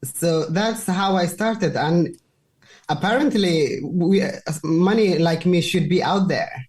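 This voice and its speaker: A woman in her twenties from Somalia, speaking English as an in a relaxed interview, unhurried and searching for words at times. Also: lowish voice. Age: 20s. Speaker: guest. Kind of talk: casual interview talk.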